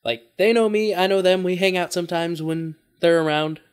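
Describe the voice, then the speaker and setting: A man's voice: high voice, normal speed, clean tone. A young man talking casually in a rambling way, as the host of a reaction video. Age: young adult. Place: small room at home.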